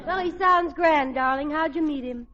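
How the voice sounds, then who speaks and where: Woman as spirited, high-voiced wife, old radio comedy. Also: a loud crowd, lightly acted. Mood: curious, teasing. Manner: brisk, teasing, theatrical. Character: spirited, playful married daughter and wife. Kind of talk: old-time radio situation comedy acting. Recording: dull, noisy old radio recording.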